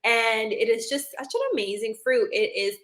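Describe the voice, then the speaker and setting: A woman's voice: on the high side, moderate pace, a bit bright, roomy sound. A woman from Sri Lanka, a doctor, giving a casual talk on healthy foods, chatty, with plenty of fillers and repeated words.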